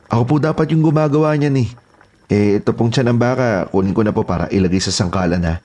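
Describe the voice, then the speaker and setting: An actor playing a polite, eager young houseboy, earnest and deferential, in a horror audio drama. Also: male voice, on the low side, quick pace, background noise, natural voice. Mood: apologetic, insistent.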